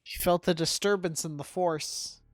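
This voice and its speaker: A man's voice: on the high side, fairly even intonation, a little slow, no room sound, clean studio sound. A man giving casual, wry live commentary as he streams a video game, with joking asides.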